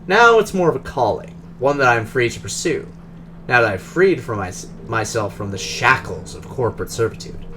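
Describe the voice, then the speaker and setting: A man voicing a polished, guarded Russian drone engineer who talks in a formal, measured, precise way, with a Russian accent. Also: mid-range voice, animated, noisy background, close to an everyday voice.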